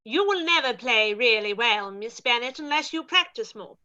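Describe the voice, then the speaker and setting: A woman's voice: a little high, expressive, normal speed, bright, forceful tone. A woman playing a haughty, boastful aristocratic older lady in a radio play, imperious and condescending, on a muffled recording. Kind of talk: radio play live read.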